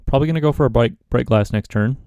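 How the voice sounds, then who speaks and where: A man's voice: medium pitch, very fast, clean tone, no echo, muffled recording. A man giving casual commentary while playing a card game, thinking aloud about his choices. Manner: relaxed, musing, talks himself through plays. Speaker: gaming content creator.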